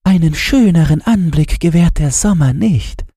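A man's voice: fairly high pitch, animated, normal speed, warm tone, no echo, studio quality, pitched above the performer's own voice. A man voicing a delighted young woman who speaks in an admiring, exclaiming manner in a storybook.

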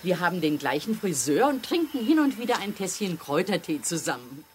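An actress playing a warm-hearted, slightly crazy and resolute middle-aged aunt in a children's radio play. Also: female voice, on the low side, very animated, fairly quick, slight background noise. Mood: jaunty, confident. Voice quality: warm, auntly.

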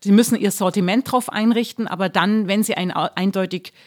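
A woman politician answering interview questions in a measured, explanatory way, pausing often with fillers. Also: mid-range voice. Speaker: politician running for a district seat.

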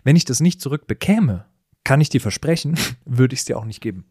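A man's voice: medium pitch, expressive, a little fast, darkish tone, breathy edge, no room sound. Man telling stories on a podcast, dry close sound. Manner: reflective, explanatory, with colloquial asides. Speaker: podcast co-host. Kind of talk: casual conversational podcast storytelling.

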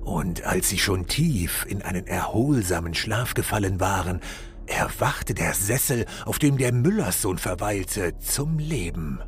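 A man playing a solemn fairy-tale storyteller, giving scripted narration in a dramatic, mock-solemn, measured manner. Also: deep voice, lively intonation, faint music behind. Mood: hushed, storytelling.

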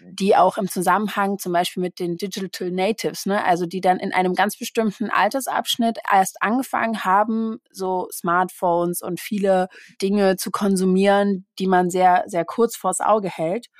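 A woman's voice: mid-range voice, steady tone, moderate pace, hardly any pauses, no room sound, studio quality. A woman who grew up bilingual in German and Portuguese, hosting an interview and asking curious follow-up questions. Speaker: podcast host. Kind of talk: interview conversation.

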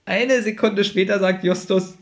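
A man's voice: sits high, fairly even intonation, measured pace. A man in a casual review chat, co-hosting a podcast and making points emphatically, often repeating them. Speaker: podcast co-host.

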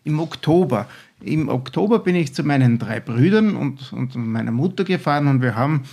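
A man's voice: medium pitch, expressive, normal speed, gravelly, a little mellow. An older man with an Austrian accent, a guest chef talking enthusiastically in a podcast chat, with many restarts.

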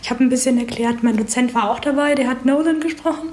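A woman in her twenties from Berlin, explaining things informally in a casual interview with hesitant pauses. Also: high-pitched, a little mellow. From Berlin, Germany. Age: 20s. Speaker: interviewee, creative writing student and audio drama writer. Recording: roomy, echoing interview recording.

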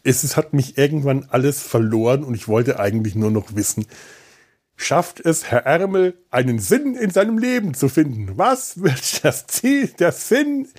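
Man with dark, husky voice, wryly rambling. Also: medium pitch, very animated. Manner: wry, exaggerated repetition for comic effect; halting, often restarts and repeats words. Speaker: podcast host. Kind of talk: casual spoken film review, loose monologue.

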